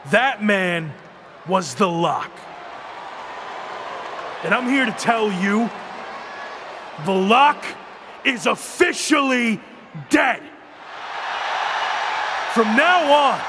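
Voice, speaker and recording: An actor playing a cocky wrestler who cuts an emphatic, boastful ring promo in a game cutscene. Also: male voice, very high voice, noisy background, heavily acted. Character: cocky young male wrestler making a comeback.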